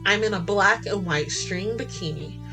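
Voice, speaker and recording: A woman narrating a first-person romance story in an expressive, flirty and sassy way. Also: mid-range voice, normal speed. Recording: music bed under the voice. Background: music.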